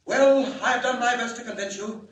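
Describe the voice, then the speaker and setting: An actor playing an earnest, urgent alien scientist in grave, theatrical 1940s radio style. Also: male voice, very high voice, moderate pace, fairly bright tone, husky, heavily acted. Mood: defiant, bitter. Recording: old 1940 radio recording, echoey in places.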